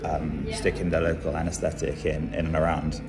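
A young man with a British accent talking casually to camera in a halting, understated way, with a husky, gravelly voice. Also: extremely low pitch, little pitch movement. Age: young adult. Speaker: vlogger. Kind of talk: casual vlog talk to camera.

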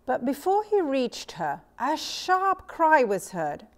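A middle-aged woman reading a children's story aloud as a storyteller, measured and expressive. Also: high-pitched. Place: small furnished room indoors. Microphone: lapel mic.

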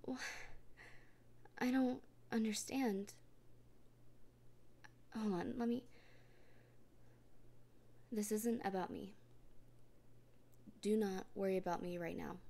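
A woman in a soft, gently reassuring comfort roleplay, often speaking in a whisper. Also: mid-range voice, takes it slowly, vocal fry, pauses often. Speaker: roleplay performer speaking as a partner.

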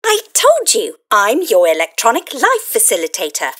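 A tiny electronic fairy helper speaks in a high, wildly animated voice. She is eager and bubbly, gushing encouragement like a saleswoman in an audio play. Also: moderate pace, full character voice. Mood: cheerful, helpful. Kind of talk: scripted audio play acting.

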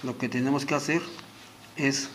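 A middle-aged man presents a hands-on demonstration in a small room at home. He has a mid-pitched voice and speaks deliberately, going step by step in short phrases, with some music in the recording. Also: steady tone, fairly bright tone. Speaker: product demonstrator, host. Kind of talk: hands-on tutorial narration.

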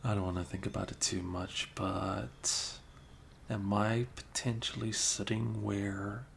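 A man playing a flustered, caring young male best friend with a crush, speaking haltingly in an improvised ASMR roleplay. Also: on the low side, little pitch movement, creaky, a little hiss or hum, exaggerated voice. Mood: teasing, wistful. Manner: halting, bashful, chuckling, casual bro talk.

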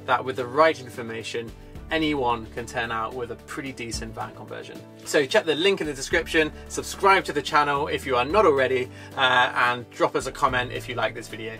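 A man in his thirties, a channel host delivering an outro pitch to camera. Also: brisk, sharp tone. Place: small wood-panelled room. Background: guitar music.

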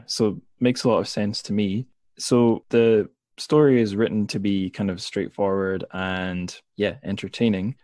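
Guy hosting a podcast interview, chatty questions, lowish voice, super dry close sound. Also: a little mellow, no room sound. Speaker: podcast host. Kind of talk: interview conversation.

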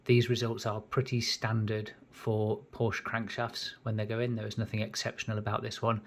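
Husky-voiced man explaining an engine build. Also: lowish voice, completely flat delivery. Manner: methodical, plain explanatory, pauses mid-phrase. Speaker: home mechanic rebuilding an engine. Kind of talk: hands-on workshop walkthrough. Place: cluttered home workshop bench.

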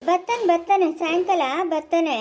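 A girl doing a fretful old grandma, very high-voiced. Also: normal speed, heavy background noise. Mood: fussing. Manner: fretful, scolding, calling out loudly. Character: fussy, hard-of-hearing old grandmother.

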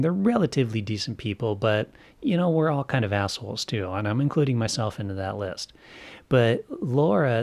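A man with a fairly low voice introduces a podcast episode. He talks informally, as if chatting straight to his listeners, in loose conversational phrasing peppered with "like.". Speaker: podcast host.

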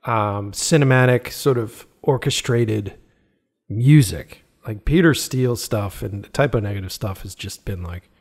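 A man's voice: on the low side, unhurried. A man hosting a podcast interview, enthusiastic and casual, laughing often and swearing freely. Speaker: podcast host.

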